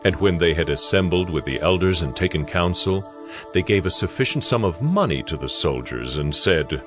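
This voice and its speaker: A man narrating dramatized scripture in a measured, solemn storytelling manner. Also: low voice, lively intonation, a bit bright. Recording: music behind the voice. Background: music.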